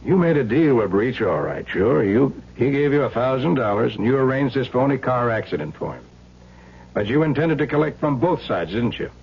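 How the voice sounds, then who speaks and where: A man's voice: medium pitch, lively intonation, moderate pace, creaky. A man playing a stern, methodical police lieutenant in a 1970s radio drama, firm and pressing. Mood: accusing. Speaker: actor. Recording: dull, noisy old radio recording.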